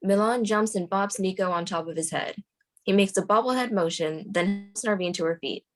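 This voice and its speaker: A woman's voice: mid-range voice, moderate pace, faint music behind. A woman reading scripted stage directions aloud as the narrator of a screenplay table read.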